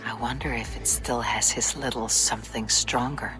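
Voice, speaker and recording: An actor voicing a calm, thoughtful Viking warrior who murmurs clues to himself in a video game. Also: male voice, mid-range voice, natural voice, poor audio. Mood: musing. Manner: measured, muttering thoughts half-whispered. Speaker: game protagonist. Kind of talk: video game cutscene acting. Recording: game audio with music.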